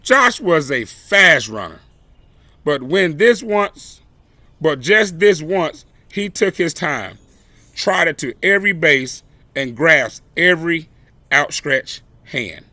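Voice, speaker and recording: Male storyteller for children's picture book: unhurried, expressive and dramatic, crisp bright tone, dry recording. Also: fairly high pitch, dry recording. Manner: dramatic, deliberate phrasing with exclamations acted out.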